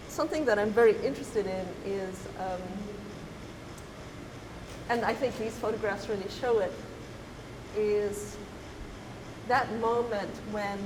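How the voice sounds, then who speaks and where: A middle-aged woman from Zimbabwe, an artist talking reflectively, pausing often and restarting her thoughts. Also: medium pitch. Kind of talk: reflective conversational talk. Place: gallery. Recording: roomy hall with hiss.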